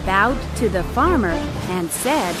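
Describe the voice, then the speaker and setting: Slow, lively female fairytale storyteller. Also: mid-range voice. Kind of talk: scripted children's fairytale narration. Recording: music bed behind.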